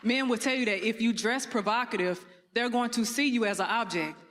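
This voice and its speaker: A woman's voice: on the high side, fairly quick, a bit bright. Firm woman in an echoey hall. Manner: firm, didactic. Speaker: panelist in a discussion video. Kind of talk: opinionated discussion. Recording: echoey hall, long reverb.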